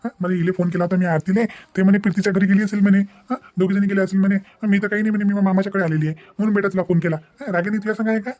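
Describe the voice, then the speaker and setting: पुरुषाने साकारलेला वऱ्हाडी बोलीतला आज्ञाधारक तरुण भाऊ. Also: male voice, high voice, flat delivery, very fast, hardly any pauses, a little mellow, close to an everyday voice. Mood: concerned, obliging. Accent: Varhadi Marathi. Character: dutiful young son and older brother searching for his sister. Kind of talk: cartoon family drama acting.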